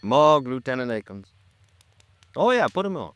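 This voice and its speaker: An actor playing a world-weary, plain-talking police lieutenant in an old-time radio detective drama, blunt and colloquial. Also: male voice, medium pitch, sing-song, moderate pace, fairly bright tone, slight background noise. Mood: brusque, needling.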